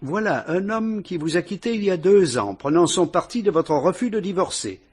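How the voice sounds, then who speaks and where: A sly, probing police inspector. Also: male voice, high voice, lively intonation, normal speed, slightly rough. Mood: probing, ironic. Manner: sly, probing, mocking interrogation. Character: shrewd, ironic male police inspector. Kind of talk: vintage French radio drama acting.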